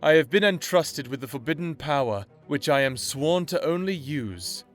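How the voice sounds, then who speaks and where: A man's voice: a little high, lively intonation, moderate pace, faint music behind, lightly acted. Man playing a pompous, self-righteous general. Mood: grand, solemn. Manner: pompous, formal, declamatory. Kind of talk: formal televised address in an audio drama.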